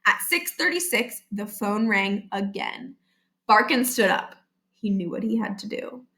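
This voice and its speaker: A woman with a fairly high voice narrates a children's novel. She reads aloud expressively, as a storybook reader. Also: fairly bright tone.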